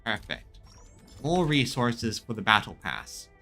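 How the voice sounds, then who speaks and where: A man streaming a game, chatting casually in a halting way, often repeating and rephrasing himself mid-sentence. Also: mid-range voice, big pitch swings, gravelly, sharp tone. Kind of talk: casual chat commentary on a game. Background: music in the background.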